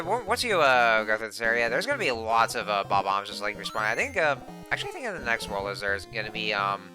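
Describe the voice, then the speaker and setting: A hoarse young man giving casual, rambling commentary while playing a video game, full of fillers and restarts. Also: mid-range voice. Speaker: gameplay commentator. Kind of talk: casual let's play commentary. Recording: game music playing behind the voice.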